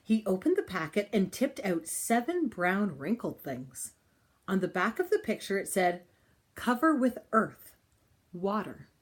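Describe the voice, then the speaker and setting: Woman reading a storybook with lively intonation. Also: medium pitch, measured pace. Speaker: storybook reader. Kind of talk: expressive read-aloud of a children's picture book.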